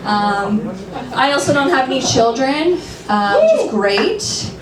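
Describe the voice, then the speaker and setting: A woman in her thirties doing a dry, self-deprecating stand-up set, sometimes shrill and laughing at her own jokes. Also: sing-song, takes it slowly. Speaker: stand-up comedian. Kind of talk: stand-up set at an open mic. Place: comedy club stage. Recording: roomy, distant, noisy club recording.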